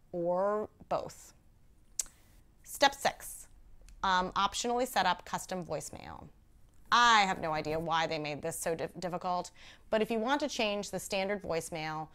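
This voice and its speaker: A woman in her thirties presents a how-to video on a lapel mic. She speaks at a medium pitch in an instructional way, going step by step and dropping in conversational asides. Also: fairly bright tone. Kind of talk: step-by-step tutorial to camera.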